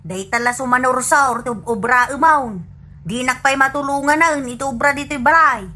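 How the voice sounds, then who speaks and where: A woman's voice: sits high, normal speed. A woman playing an exasperated older wife who scolds her husband in a comedy radio drama. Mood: scolding. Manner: sharp, scolding, incredulous.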